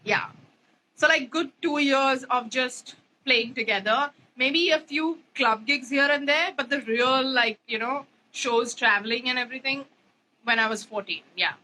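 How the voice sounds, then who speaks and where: A young woman from India chats in a bright, forceful voice that sits a little high. She rambles loosely and leans on fillers and "you know" as she talks. A faint hiss of noise runs under her voice. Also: unhurried, rough recording. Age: 20s. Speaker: bassist guest. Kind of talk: casual interview chat.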